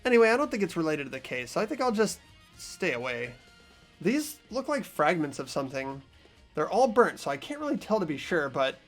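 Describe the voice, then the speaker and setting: A man's voice: a little high, fairly bright tone. A man voicing an earnest, puzzled teenage boy who thinks aloud while investigating in a visual novel game. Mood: curious, musing. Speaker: amateur voice actor in a fan playthrough. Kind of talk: fan-dubbed visual novel acting and narration.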